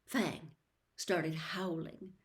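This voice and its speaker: A woman's voice: lowish voice, fairly even intonation, extremely slow. A woman storyteller narrating a book aloud from a script.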